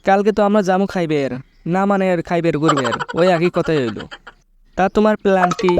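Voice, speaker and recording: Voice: mid-range voice, animated, quick pace, noisy background, voice pushed down, close to an everyday voice. A performer voicing an earnest young cartoon boyfriend with a Bangladeshi accent, plaintive, in colloquial village speech. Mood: hopeful. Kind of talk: comedy cartoon dialogue and narration. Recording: music under the voice.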